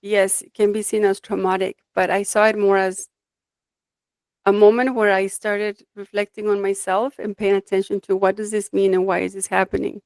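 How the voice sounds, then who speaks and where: A woman's voice: mid-range voice, flat delivery, normal speed, a little mellow, no room sound. Reflective woman from Mexico, dry sound. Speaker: guest, life design coach. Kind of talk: conversational interview, personal storytelling.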